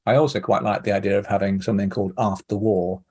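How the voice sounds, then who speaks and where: A man's voice: lowish voice, steady tone, fast, a little mellow. A man giving a thoughtful, digressive interview in a hesitant, conversational way. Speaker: producer being interviewed.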